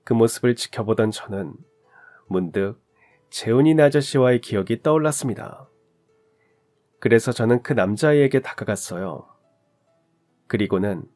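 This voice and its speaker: Calm man reading a heartfelt story. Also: medium pitch. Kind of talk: scripted narration of a listener's story.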